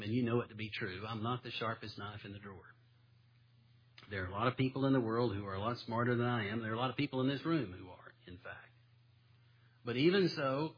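Male pastor, mid-pitched, breathy husky tone, homespun sermon delivery, dull lo-fi sound. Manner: folksy, self-deprecating preacher with homespun stories. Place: church sanctuary.

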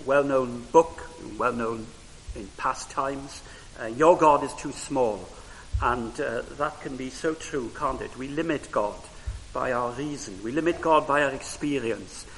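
A man's voice: mid-range voice, a bit bright. Welsh-speaking man preaching in a hall. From Wales. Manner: measured, frequent "uh" pauses, rising emphasis on key phrases. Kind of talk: sermon. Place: church. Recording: reverberant hall with faint hiss.

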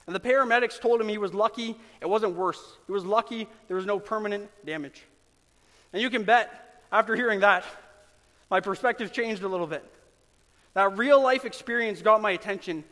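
A man with a high voice preaching from the pulpit, earnest and emphatic. He leans into dramatic pauses, and his words ring out with a long reverb in a church sanctuary. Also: sharp tone. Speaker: pastor. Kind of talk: sermon.

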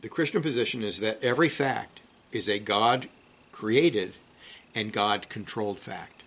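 Bible teacher, male, bright and forceful tone, reads with emphasis, loose asides with restarts. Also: fairly low pitch, a little slow, slightly rough. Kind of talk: reading a theology book aloud, then commenting and praying.

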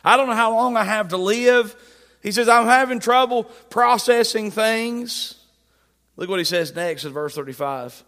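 A man's voice: high-pitched, a little slow, fairly bright tone. A man preaching a sermon, reading scripture and retelling it in plain, emphatic words. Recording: long hall reverb.

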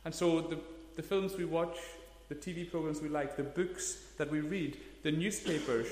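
Pastor in his 30s preaching, kinda high voice, lots of room echo. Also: steady tone, normal speed, darkish tone. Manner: earnest, emphatic preaching with stressed key words. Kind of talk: sermon. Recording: echoey hall, long reverb.

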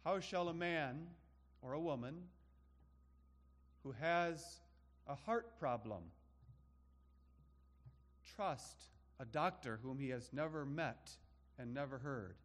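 A man preaching a sermon, slow and emphatic, building his points through rhetorical questions. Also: fairly high pitch, animated, big-room sound.

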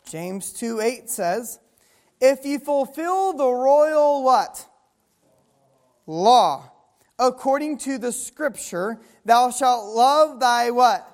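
A woman preaching a sermon, reading scripture slowly and asking the listeners to fill in the words. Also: high voice. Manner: call-and-response questions, reading scripture slowly.

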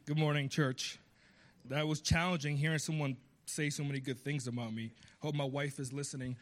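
A man's voice: on the high side, fairly even intonation, normal speed. Earnest man with slight Puerto Rican accent. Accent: slight Puerto Rican Spanish accent. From Puerto Rico. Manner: earnest, heartfelt, builds up emphatically. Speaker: guest preacher. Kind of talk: sermon.

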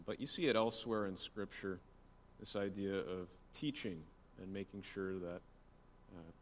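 Low-voiced man teaching in a reverberant hall. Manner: conversational, explanatory, with casual asides. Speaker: church teacher. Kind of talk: informal church history lecture. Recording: long hall reverb, faint background noise.

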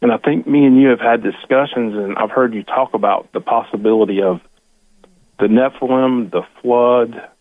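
A man's voice: medium pitch, normal speed, no room sound. A man calls in to a radio show and asks his question in a hesitant, rambling way, full of fillers. His mid-range voice comes through a tinny phone line, thin and band-limited. The sound is completely dry. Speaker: caller. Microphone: telephone.

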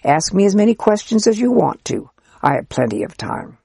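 A reader playing a kindly old priest, husky and gravelly. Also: medium pitch, big pitch swings, moderate pace, dry recording, studio quality, voice pushed up, full character voice. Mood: kindly explaining. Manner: gentle, explaining, earnest. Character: kind, patient old male Israelite priest. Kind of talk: storybook character reading.

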